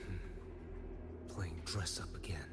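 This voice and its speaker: Cold grim game warrior, deep menacing voice, few words, loud music under it. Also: male voice, steady tone, slow pace. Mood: cold, accusing. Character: grim, stern male dark warrior hunting his brother. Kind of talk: video game voice acting.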